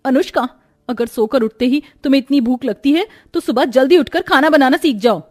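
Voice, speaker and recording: A woman's voice: sits high, brisk, faint music behind. A woman voicing a reasonable, dutiful elder sister-in-law in a cartoon story, speaking earnestly. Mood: firm. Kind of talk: Hindi cartoon story dialogue.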